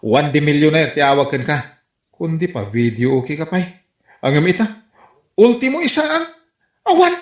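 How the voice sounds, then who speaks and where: A man's voice: fairly high pitch, big pitch swings, normal speed, long pauses. A man playing an exasperated, nagging husband in a radio comedy drama, with an Ilocano Filipino accent. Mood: scolding. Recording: echoey room.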